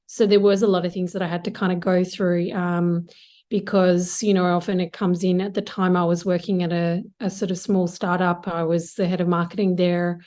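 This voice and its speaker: A woman's voice: mid-range voice, darkish tone. A woman with an Australian accent, a guest on an interview podcast, answering at length in long, listing sentences. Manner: discursive, talks in long lists of clauses. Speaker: guest, marketing executive.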